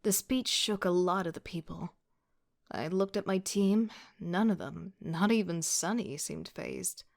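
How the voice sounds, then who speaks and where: A woman's voice: fairly low pitch, measured pace, creaky. A woman playing a wry, casual young student team leader who narrates an audio drama in the first person with sardonic asides. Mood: wry, observant. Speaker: voice actor.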